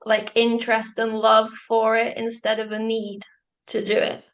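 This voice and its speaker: A woman's voice: on the high side, monotone, moderate pace. A woman hosting an interview, chatty and curious, filling her questions with "like" and laughter. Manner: warm, chatty, lots of "like" and laughter. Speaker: podcast host. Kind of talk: casual interview chat.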